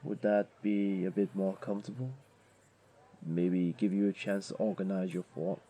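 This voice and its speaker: A man's voice: low voice, fairly even intonation, normal speed, warm tone. A man playing a wealthy, flirtatious jaguar beastling in a comforting audio roleplay, tender and teasing, with a coaxing, purring delivery. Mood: gentle, playful.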